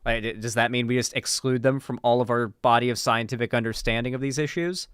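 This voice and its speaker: A man's voice: mid-range voice, fairly even intonation, a little fast, fairly bright tone, clean tone, no echo. Clean, dry-voiced man riffing casually, swearing freely. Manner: informal, profane, emphatic. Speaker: political commentary streamer. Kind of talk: casual reaction commentary, unscripted.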